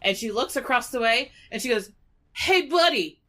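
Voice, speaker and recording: A woman's voice: on the high side, expressive, moderate pace, a bit bright. A woman playing a tabletop roleplaying game, narrating her character casually with a brash, jokey, sweary manner.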